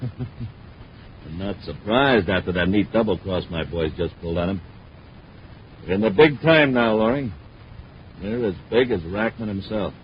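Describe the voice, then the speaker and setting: An actor playing a boastful, tough, scheming space crook in a 1950s radio adventure. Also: male voice, on the low side, unhurried, pauses often, lightly acted. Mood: smug. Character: cocky, scheming male space crook boss. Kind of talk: 1950s radio villain acting. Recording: dull, faintly noisy 1950s radio recording.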